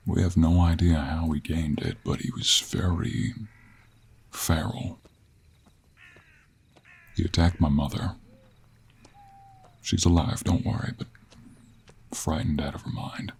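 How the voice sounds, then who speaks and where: Man playing a gravel-throated, low-voiced Western cowboy. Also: exaggerated voice. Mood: confiding, troubled. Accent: American Western. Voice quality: gravelly, husky. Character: gruff, gentlemanly cowboy monster hunter, protective and teasing. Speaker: voice actor in audio roleplay. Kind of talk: intimate one-sided audio roleplay acting.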